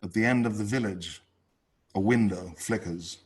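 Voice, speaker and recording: A man reading his own poetry live over a video call, in a measured delivery with dramatic pauses between short phrases. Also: fairly low pitch, darkish tone, husky. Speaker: poet reading own work.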